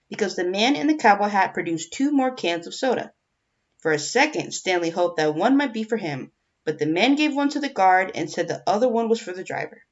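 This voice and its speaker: Woman reading a novel, dull lo-fi sound. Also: on the low side. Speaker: audiobook reader. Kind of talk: scripted narration of a children's novel. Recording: dull lo-fi home recording.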